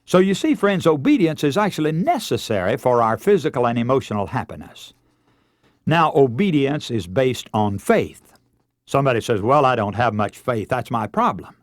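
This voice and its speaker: An American man preaching a radio sermon in an earnest, emphatic way, leaning deliberately on key words and telling illustrations. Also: mid-range voice, big pitch swings, dry recording, clean studio sound.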